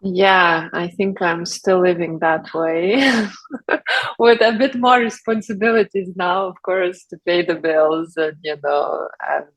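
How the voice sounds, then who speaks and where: A woman from Lithuania speaking English, talking in a reflective, unhurried way with frequent fillers and soft laughs as an interview guest. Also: fairly low pitch, fairly even intonation. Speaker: guest, brand founder. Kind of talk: casual interview talk.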